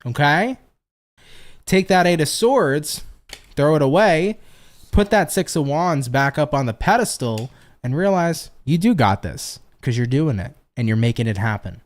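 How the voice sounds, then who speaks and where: A man's voice: on the high side, lively intonation, measured pace. Tired, chatty, swearing male tarot reader. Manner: chatty, profane, laughs often, answers viewer comments. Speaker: tarot reader hosting a livestream. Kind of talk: casual livestream tarot reading.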